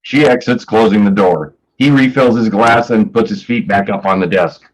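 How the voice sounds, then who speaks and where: A man's voice: fairly low pitch, moderate pace, poor audio. A man presenting a staged play reading as announcer and stage directions reader, plain and matter-of-fact. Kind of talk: scripted narration of stage directions.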